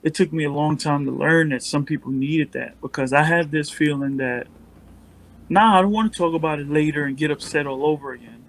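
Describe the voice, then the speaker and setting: A man with a mellow voice, a guest on a casual podcast, speaking in a calm, measured and reflective way. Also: fairly high pitch, low-quality recording.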